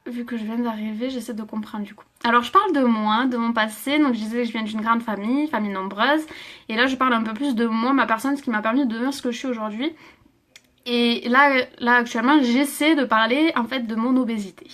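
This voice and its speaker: A woman's voice: fairly high pitch, fairly even intonation, a little fast, fairly bright tone. A woman in her twenties from Toulouse, telling her personal story on a live stream, hesitant with many fillers and close to tears. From France. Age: 20s. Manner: hesitant, many fillers, laughs and reads viewer comments. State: emotional, near tears. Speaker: livestreamer.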